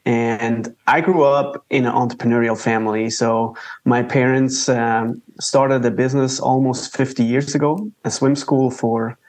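A man's voice: medium pitch, measured pace, hardly any pauses. Man speaking English, casual. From Switzerland. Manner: relaxed, conversational, with small grammar slips. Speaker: guest entrepreneur. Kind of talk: interview answers.

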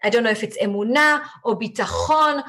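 Woman, Israeli accent, Hebrew native, podcast guest, reflective, halting, somewhat high voice. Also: expressive, a bit bright. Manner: reflective, halting with many restarts. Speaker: guest, leadership coach. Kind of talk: podcast interview conversation.

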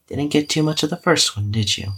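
A man's voice: on the high side, pushed and bright, slight background noise. An actor playing a weary, self-effacing young man who hesitantly records a wry, cynical audio diary in a drama podcast. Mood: bitter, brooding. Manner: hesitant, musing, wryly cynical.

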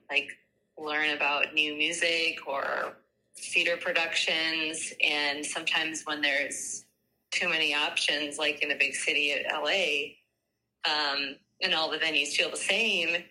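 A woman's voice: sits low, bright, forceful tone, rough recording. A woman musician giving a casual, conversational interview, often dropping "like" into her sentences. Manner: conversational, peppered with "like". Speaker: singer-songwriter being interviewed.

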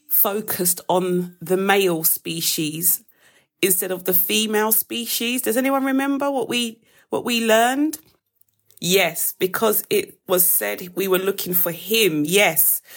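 A young woman with a gravelly, croaky voice leads a live devotional. She takes her time, praying and then walking her listeners through a Bible verse in an easygoing, conversational way. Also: mid-range voice, a bit bright. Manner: casual, chatty Bible teaching to a live audience. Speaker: devotional host. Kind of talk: live-streamed daily devotional and prayer.

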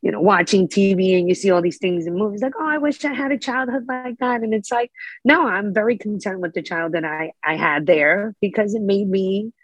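A woman's voice: mid-range voice, a little fast, dry recording, highs cut off. A middle-aged woman from Brooklyn with a New York accent, chatting casually as a guest, laughing often and filling with "you know". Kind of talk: casual interview chat.

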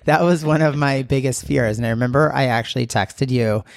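A man's voice: mid-range voice, a little fast, creaky, no echo, clean studio sound. A young adult man, a teacher co-hosting a casual podcast, talking enthusiastically with lots of fillers. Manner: enthusiastic, uses many fillers. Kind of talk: casual conversation.

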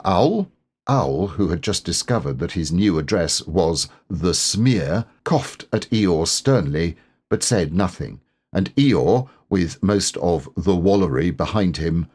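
A man reading a children's story aloud as narrator, in a measured, careful and expressive way. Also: low voice, breathy edge. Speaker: audiobook narrator, voice actor.

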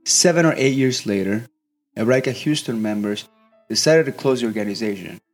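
A young man, a student, reading a scripted documentary narration in steady, measured phrases. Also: medium pitch, soft background music. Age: young adult.

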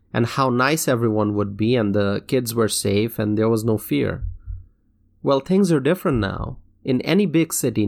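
A man from Bangladesh hosting a solo talk show, speaking in an earnest, measured way with occasional restarts. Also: mid-range voice, gravelly. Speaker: podcast host. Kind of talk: reflective solo commentary.

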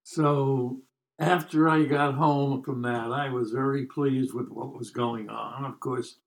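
Man in his nineties, slow-talking American storyteller. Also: mid-range voice, fairly even intonation, vocal fry, poor audio. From United States. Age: 90s. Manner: wry, joking, rambling. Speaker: podcast guest telling life stories. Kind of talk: casual storytelling.

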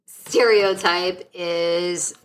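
A woman's voice: lowish voice, fairly even intonation, extremely slow. A woman co-hosting a casual podcast, chatty and laughing often, restarting her sentences as she thinks aloud. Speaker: podcast co-host.

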